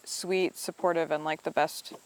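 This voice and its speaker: A woman from upstate New York talks through her editing process in a reflective, hedging way, her voice smooth and clear. The sound is dry and close, with no room around it. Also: low-pitched, level tone, moderate pace, dry recording, rough recording. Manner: thoughtful, hedging with "I think" and "you know". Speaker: documentary director and editor. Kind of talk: reflective interview answers.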